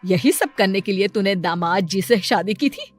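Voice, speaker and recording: A woman voicing a stern, indignant grandmother, reproachful and scolding in an animated moral story. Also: medium pitch, normal speed, no room sound, soft background music, lightly acted. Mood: outraged.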